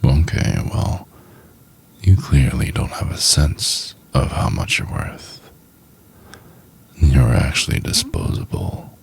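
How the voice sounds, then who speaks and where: A man playing a cold, domineering CEO in a soft-spoken ASMR roleplay, talking down in a condescending, deliberate half-whisper. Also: heavily acted. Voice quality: breathy, gravelly, very deep. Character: cold, domineering male CEO belittling a lowly intern.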